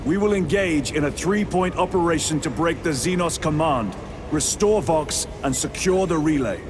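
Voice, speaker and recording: Guy doing a stern sci-fi game lieutenant briefing the squad, formal and solemn, rumbly noise behind. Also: normal speed, natural voice. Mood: solemn, determined. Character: stern male space marine lieutenant briefing his squad. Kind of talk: video game voice acting.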